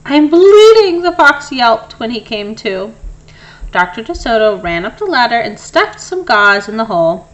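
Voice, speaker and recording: A woman reading a children's story aloud in an expressive narrating style. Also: fairly high pitch, normal speed, low-quality recording. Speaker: storybook reader.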